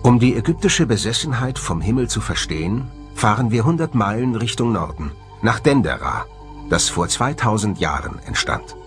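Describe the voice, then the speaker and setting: Male documentary narrator, lowish pitch, widely varied intonation, measured dramatic read, music underneath. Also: sing-song.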